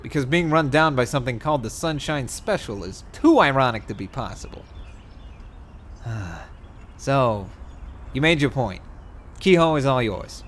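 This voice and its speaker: A man's voice: mid-range voice, animated, moderate pace, long pauses, slight background noise, close to an everyday voice. A man voicing a glib, sarcastic young bootlegger cat in a comic dub, in a theatrical manner. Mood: sardonic. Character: cheeky, chatty young male bootlegger cat. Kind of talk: comic dub character acting.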